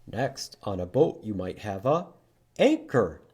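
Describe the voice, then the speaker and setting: A man's voice: lowish voice, very animated, measured pace, warm tone, a little hiss or hum. A man hosting a children's storytime, reading aloud and teaching letters in short, simple phrases with sing-song emphasis for young listeners. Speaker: children's storytime host at a library.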